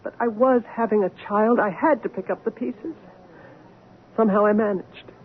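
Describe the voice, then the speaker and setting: A woman's voice: medium pitch, moderate pace, close to an everyday voice. An actress playing an anguished but firm woman doctor confronting her returned husband, earnest and emotional, in an old-time radio drama. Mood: hurt pleading. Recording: narrow, noisy old radio recording.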